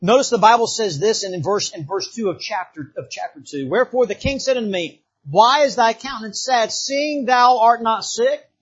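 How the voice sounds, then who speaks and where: A fervent man from the Bahamas preaches a revival sermon in church, his voice high and a little husky. He repeats himself and calls out amen often, and the recording sounds slightly dull. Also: lively intonation, normal speed, hardly any pauses.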